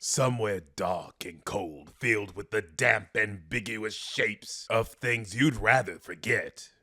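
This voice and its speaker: A man voicing a crazed, theatrical clown villain, gleeful and menacing, with a gravelly, sharp voice. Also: fairly low pitch, no echo, clean studio sound, full character voice. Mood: sinister, brooding. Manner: theatrical, unhinged, drawn-out. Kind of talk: cartoon villain voice demo.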